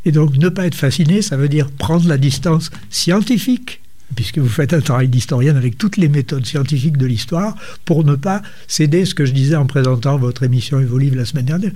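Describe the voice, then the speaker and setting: A man hosts a community radio interview with a soft, mellow tone and a voice pitched a touch high for a man. He talks in long, looping sentences. He adds small murmurs of agreement while the guest speaks. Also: brisk. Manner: long winding introductions, frequent murmured assent. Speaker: radio show host.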